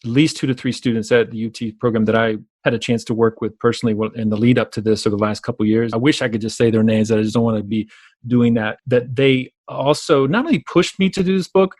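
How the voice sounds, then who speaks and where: A man with a Southern US drawl talks at a rapid clip, piling up emphatic, repeated points in long run-on stretches. He is a coach and educator speaking as a guest over a remote call, and the sound is close and dry with no room echo. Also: medium pitch, steady tone, darkish tone. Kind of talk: conversational interview.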